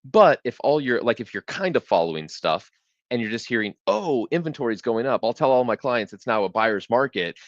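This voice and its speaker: A man's voice: medium pitch, lively intonation, fairly quick, no echo, lo-fi sound. A man hosting a live real estate webinar, explaining data tools in a conversational way with frequent restarts.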